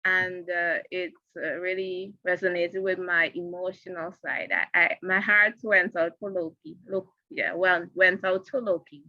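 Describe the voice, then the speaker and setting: A young woman with a Guyanese accent co-hosting a casual review show in an easygoing manner. Her voice sits a little low but sounds bright and forward. The recording is dry and dull, with a lo-fi edge. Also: flat delivery, unhurried, hardly any pauses, no echo. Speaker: co-host.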